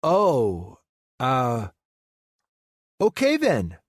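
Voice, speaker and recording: A man's voice: mid-range voice, huge pitch swings, very slow, no echo, clean studio sound, pitched above the performer's own voice, exaggerated voice. A man voicing an amiable, easily cowed male office colleague in a romance audiobook, eager and conciliatory. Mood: taken aback.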